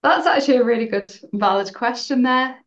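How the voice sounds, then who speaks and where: A woman with an English accent, a senior lecturer answering interview questions in an earnest, measured way. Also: on the high side, muffled recording. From England.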